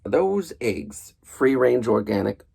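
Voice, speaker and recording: A man's voice: medium pitch, very animated, slow, gravelly, a little mellow, slightly rough, full character voice. A man voicing a grumpy, stern male bear from a children's storybook, grumbling as he talks. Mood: deadpan.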